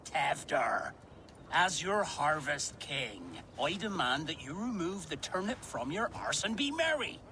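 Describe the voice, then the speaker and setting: A merry old harvest king in a game cutscene. The voice is high for a man and very lively, with a grandiose, mischievous, teasing delivery. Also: a little slow, slight background noise, heavily acted. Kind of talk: video game cutscene acting.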